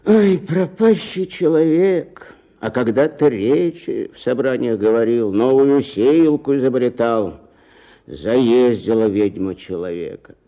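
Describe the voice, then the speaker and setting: A man's voice: medium pitch, expressive, slow, dark tone. An actor playing an exasperated, scornful man in a radio play, with a sighing, mocking manner. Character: exasperated, scornful male visitor.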